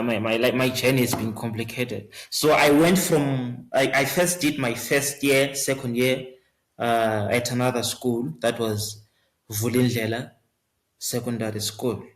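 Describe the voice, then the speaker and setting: A man, answering interview questions in a hesitant way with many fillers and restarts. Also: medium pitch. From Zimbabwe. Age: 20s. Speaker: guest singer. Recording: roomy echo.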